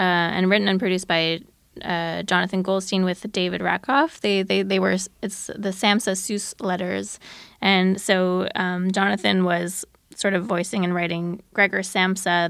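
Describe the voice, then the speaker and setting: A woman's voice: medium pitch, gravelly, a bit bright, no echo, studio quality. A woman who produces podcasts, talking casually as an interview guest.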